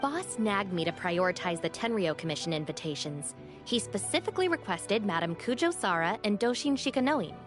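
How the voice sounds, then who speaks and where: A level-headed young woman deputy voiced by an actress in a video game. She is dry and wry, with a mid-pitched, expressive voice over faint music. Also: a little fast, close to an everyday voice. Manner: wry, matter-of-fact.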